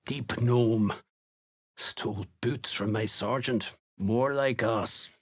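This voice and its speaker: A man playing a gruff, curt duergar warrior and slave-hunter in a video game, threatening and gravelly. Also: medium pitch, slow. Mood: curt, wary. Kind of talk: video game character voice lines.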